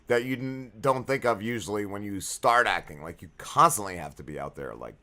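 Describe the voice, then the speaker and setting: A man's voice: fairly low pitch, expressive, pushed and bright. A man in his forties co-hosting a podcast, joking and rambling in casual chat. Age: 40s. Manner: joking, rambling, quick to laugh. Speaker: podcast co-host.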